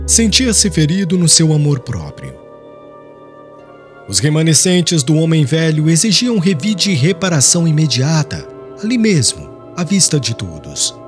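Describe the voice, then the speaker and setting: A man narrating a literary audiobook in a scripted, solemn and formal dramatic style. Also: on the high side.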